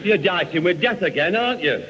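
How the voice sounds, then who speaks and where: An exasperated Northern English father blusters and grumbles with sarcasm in an old radio sitcom. His voice is high and swoops about animatedly, over clear noise on a muffled recording. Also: lively intonation, a little fast. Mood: needling. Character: exasperated, threatening working-class father, sarcastic grown man. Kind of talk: 1970 radio sitcom acting.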